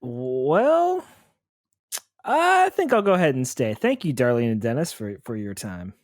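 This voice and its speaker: Guy in a tabletop rpg, halting delivery, pitch swings all over, very dry sound. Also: sing-song, no echo. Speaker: tabletop game player. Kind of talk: casual improvised role-play.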